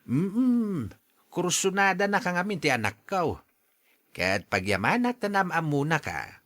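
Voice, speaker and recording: A man's voice: high-pitched, big pitch swings, unhurried, a bit bright, no room sound, clean studio sound, heavily acted. A man acting a blunt, matchmaking older father in a radio drama, with an Ilocano accent. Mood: teasing, insistent.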